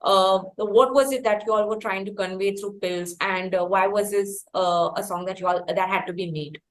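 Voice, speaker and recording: Indian woman hosting an interview, effusive and halting. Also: medium pitch, fairly even intonation. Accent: Indian English. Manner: effusive, wordy, halting delivery with pauses. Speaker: podcast host interviewing musicians. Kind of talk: conversational interview.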